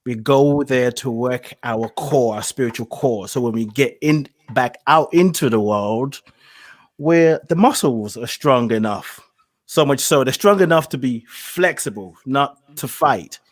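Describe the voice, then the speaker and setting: A man speaking in a measured, reflective way about spiritual practice, laughing easily between thoughts. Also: medium pitch, no room sound.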